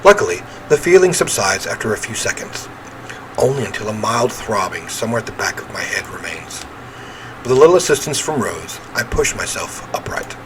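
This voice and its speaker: A man's voice: fairly low pitch, sing-song, brisk, noisy background. A man reading a visual novel aloud as he plays, with theatrical, emphatic narration and offhand reactions.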